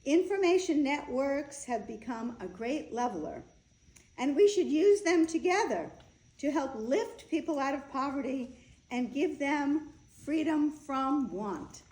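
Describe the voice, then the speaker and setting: A woman's voice: sits high, lively intonation, measured pace, darkish tone. A woman playing an earnest wedding official who offers quotations in a staged reading. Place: indoor room, staged reading. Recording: echoey room.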